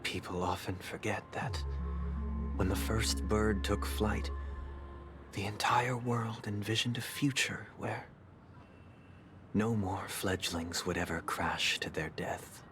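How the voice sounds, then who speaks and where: An actor voicing a solemn, gentle, philosophical man in a game, grave and deliberate. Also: fairly low pitch, over music. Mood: grave, reflective. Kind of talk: scripted game voice acting.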